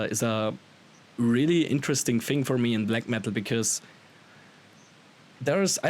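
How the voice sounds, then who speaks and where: A man with an Austrian accent, a native German speaker, talking casually and enthusiastically as a musician being interviewed. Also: medium pitch. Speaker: musician guest on a podcast. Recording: clearly audible background hiss.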